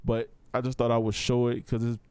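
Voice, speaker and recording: A man's voice: mid-range voice, monotone, brisk, warm tone, clear, resonant tone, no echo. A man giving a casual, step-by-step tutorial in a laid-back, informal way. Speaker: audio production tutor.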